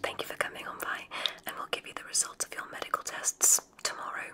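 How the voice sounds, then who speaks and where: A woman whispering softly in an ASMR roleplay as a kindly witch examiner at a magic school, speaking in a soothing, deliberate, formal way. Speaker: ASMR performer.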